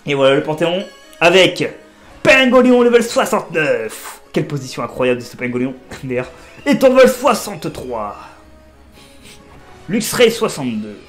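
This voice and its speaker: A man with a blocked nose doing casual, excitable let's play commentary as a gaming creator. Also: normal speed, soft background music. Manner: excitable, pitch jumping up on exclamations.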